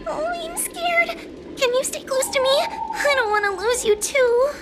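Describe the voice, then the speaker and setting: Very high-pitched timid young girl. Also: expressive, measured pace, background noise. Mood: scared, pleading. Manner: nervous, meek, pleading. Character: timid, easily frightened young girl exorcist. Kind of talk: video game voice acting. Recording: loud music behind the voice. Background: game music.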